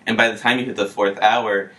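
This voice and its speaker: Young male podcast co-host, bright-voiced, casual, joking banter. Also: lowish voice, fairly even intonation, brisk. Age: young adult. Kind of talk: casual comedic chat.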